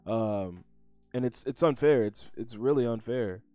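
A man's voice: measured pace, warm tone, smooth, no room sound, faint music behind. Laid-back man with a dry close sound. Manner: laid-back, says his voice is low, rambling with restarts. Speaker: podcast host. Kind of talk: casual chat.

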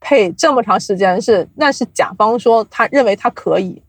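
A woman speaks as an interview guest in a slightly raised pitch. She explains things at length in a casual, conversational way, reaching for examples. The sound is very dry, free of room echo. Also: moderate pace. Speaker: guest, audio drama producer. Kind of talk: casual podcast interview talk.

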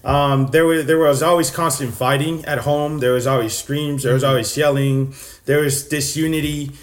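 A man's voice: mid-range voice, fairly even intonation, moderate pace. A young man from Texas sharing his faith story in casual, earnest talk, full of fillers and restarts. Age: young adult.

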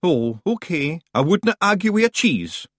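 A man voicing a tiny, tough male fairy chief with a Scottish accent, blunt and gruff. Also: high-pitched, very animated, normal speed, a bit bright, dry recording, studio quality, pitched above the performer's own voice, heavily acted.

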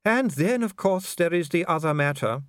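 A man voicing a calm dwarf official with a measured, bureaucratic, smoothly courteous manner in an audiobook. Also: fairly high pitch, dry recording, studio quality, voice pushed up, natural voice. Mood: coolly polite. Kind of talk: audiobook character voice.

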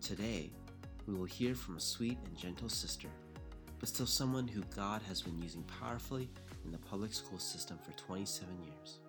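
A man narrates a scripted podcast introduction in a measured, expressive way. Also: low voice, over music.

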